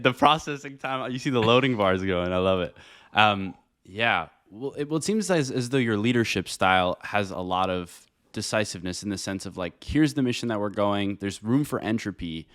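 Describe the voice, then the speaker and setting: Young male podcast interviewer, lowish voice. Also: a bit bright. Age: young adult. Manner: halting, repeating words while working out long questions. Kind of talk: casual conversational interviewing.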